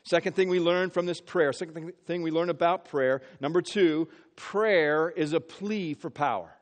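A man's voice: a little high, normal speed, big-room sound. A man from the Midwest, preaching an earnest sermon as pastor and often repeating words as he builds his phrases. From American Midwest.

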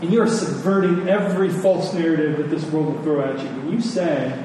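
Male preacher, earnest sermon delivery with repeated words for emphasis; very echoey room, clear background noise. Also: fairly high pitch, fairly quick, mellow. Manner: earnest, emphatic with repetition for stress. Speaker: pastor preaching to his congregation. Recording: echoey hall, distant mic, noisy background.

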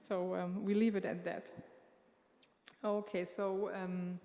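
A woman giving unscripted church announcements in a church sanctuary, halting and pausing often as she speaks into a microphone. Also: mid-range voice, level tone, dark tone. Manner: halting, with many filler pauses. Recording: long reverb of a large hall.

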